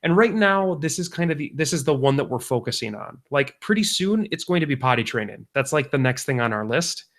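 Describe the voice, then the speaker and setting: A man's voice: on the high side, animated, fairly quick, few pauses, a bit bright. A young adult man co-hosting a podcast, telling rambling stories in casual chat and often correcting himself as he goes. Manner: rambling, self-correcting parent anecdotes. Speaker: podcast co-host.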